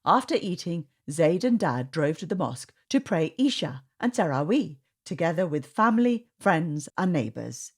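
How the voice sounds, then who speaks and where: A woman reading a storybook aloud to children in an expressive, sing-song way. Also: fairly low pitch, normal speed, few pauses, husky. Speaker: storytime reader. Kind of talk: children's read-aloud narration.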